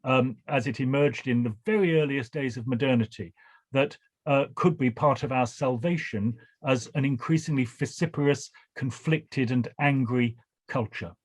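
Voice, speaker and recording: A man finishing a prepared webinar talk over a video call, in measured academic phrasing. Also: medium pitch, dry recording. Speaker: webinar speaker.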